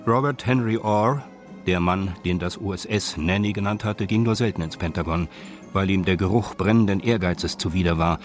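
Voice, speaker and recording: A man narrating a crime radio drama in a sober, detached, deadpan and measured manner. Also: low-pitched, close to an everyday voice. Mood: dryly descriptive.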